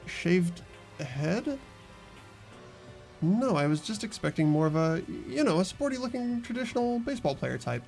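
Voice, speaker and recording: A man's voice: sits high, big pitch swings, moderate pace, gravelly, a little mellow, soft background music, voice pushed up, heavily acted. Man playing a bewildered, earnest teenage boy. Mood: surprised.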